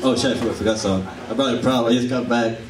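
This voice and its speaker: A man's voice: medium pitch, fairly even intonation, rapid-fire. A young man from Puerto Rico with a Puerto Rican Spanish accent, a native Spanish speaker performing a playful stand-up comedy set. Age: young adult. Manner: joking, playful crowd work. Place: comedy club stage. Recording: echoey live room from a distance. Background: laughing audience.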